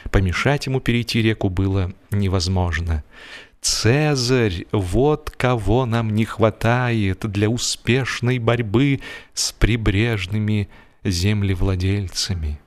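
A man narrating humorous literary prose in a wry, measured, expressive theatrical manner. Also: lowish voice. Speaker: audiobook narrator.

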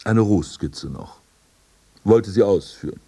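An actor playing a proud, defiant blinded prince and landscape gardener in a literary radio play, declamatory and haughty. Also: male voice, lowish voice, slow, mellow, slight background noise. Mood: proud, reminiscing.

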